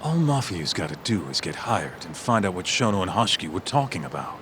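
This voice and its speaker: A man's voice: low-pitched, very animated, a little fast, background noise. An actor playing a brash, laid-back tough-guy detective in a video game, talking bluntly and teasingly. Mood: cocky, casual. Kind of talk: video game cutscene dialogue.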